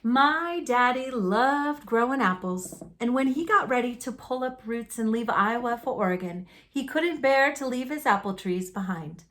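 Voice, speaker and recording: A woman reading a children's picture book aloud in an animated, folksy storytelling manner. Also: fairly high pitch, a little slow, few pauses. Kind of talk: expressive read-aloud narration of a children's book.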